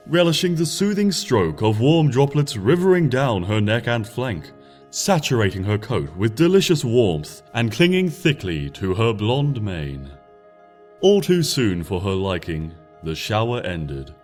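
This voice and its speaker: A man reading a fan-written story aloud in a gentle, lilting storybook manner. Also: mid-range voice. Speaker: narrator. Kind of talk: fanfiction audiobook narration. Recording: soft music bed behind.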